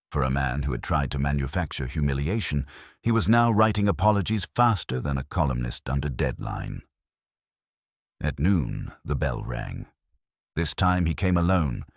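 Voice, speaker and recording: Male narrator for detective fiction: extremely low pitch, husky edge, dry close sound. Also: no echo. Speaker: audiobook narrator. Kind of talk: scripted first-person detective story narration.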